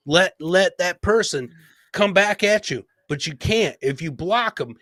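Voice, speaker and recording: A man's voice: sits high, animated, a little slow, fairly bright tone, dry recording, studio quality. A man hosting a casual livestream, blunt and emphatic, repeating himself and chummily dropping "man" and "brother". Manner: blunt, profane, emphatic and repetitive. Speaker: podcast host.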